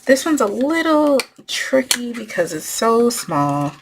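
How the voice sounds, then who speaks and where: A woman hosting a craft tutorial, losing her voice and clearing her throat as she talks through each step while working. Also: fairly high pitch, very animated, slow, noisy background. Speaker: crafting channel host.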